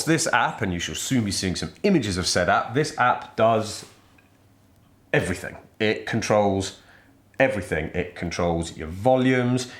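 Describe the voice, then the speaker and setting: A middle-aged British man giving an informal product review to camera in a chatty, irreverent way with casual slang. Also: on the low side. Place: indoor studio set styled as a workshop.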